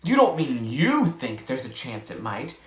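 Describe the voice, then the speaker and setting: A reader as a stubborn, grumbling boy, animated pitch. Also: a little slow, reverberant. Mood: worried. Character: stubborn, worried sporty boy, grudging and blunt.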